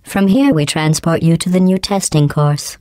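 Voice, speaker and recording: A cold female facility AI, voiced by a woman in a video game, her tone low and perfectly smooth. Every line comes out clipped and deadpan, sarcastic and quietly menacing, recorded close and completely dry. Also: moderate pace, dry recording, lightly acted. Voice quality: smooth, synthetic-sounding, clipped. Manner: deadpan, passive-aggressive, coolly menacing.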